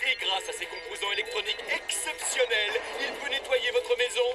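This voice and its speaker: A man's voice: very high voice, moderate pace. A man voicing an over-enthusiastic TV advert announcer selling a gadget in a cartoon. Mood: salesman enthusiasm. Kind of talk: cartoon parody of a TV commercial. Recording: slightly distant, music behind.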